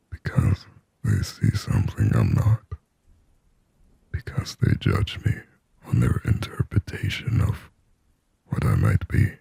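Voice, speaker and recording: A man playing a lonely, yearning sleep paralysis demon in a slow, breathy, raspy ASMR whisper, close to the microphone. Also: very deep voice, warm tone, dry recording, heavily acted. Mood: anguished, fearful. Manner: slow, brooding, pleading, poetic. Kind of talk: whispered ASMR roleplay monologue.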